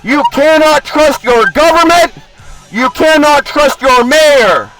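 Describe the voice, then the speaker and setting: A man's voice: very high-pitched, animated, slow pace, low-quality recording. A man delivering an impassioned, preachy monologue as an online video host, with a confrontational, grandiose and profane manner.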